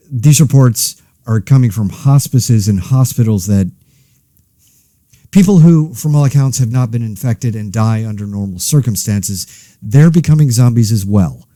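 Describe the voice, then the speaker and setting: Guy playing a wry worn-out podcaster guy in a zombie apocalypse, really soft dark mellow voice, halting, trails off into asides. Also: fairly low pitch, no room sound, clean studio sound. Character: weary, anxious male podcaster reporting from a zombie outbreak. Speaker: podcast host. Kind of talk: casual monologue, scripted fiction podcast.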